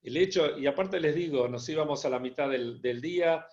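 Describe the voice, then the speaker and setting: Hesitant rabbi on a dull video call. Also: male voice, a little high, fairly quick, a little mellow. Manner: hesitant, with many restarts. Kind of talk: personal testimony at an online gathering.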